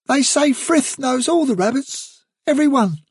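A man's voice: very high-pitched, lively intonation, creaky, dry recording, clean studio sound, pitched above the performer's own voice, exaggerated voice. A man voicing a kind young male rabbit comforting a friend in a storybook reading. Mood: soothing. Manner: gentle, soothing, quick. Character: kindly young male rabbit, gentle and steady.

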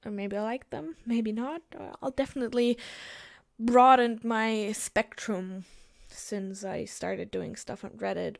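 Casual chat from a young German woman with a lisp and a German accent, speaking in a fairly high voice. she hesitates a lot and laughs often while answering questions from her listeners. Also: gravelly. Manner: hesitant, giggly, lots of fillers.

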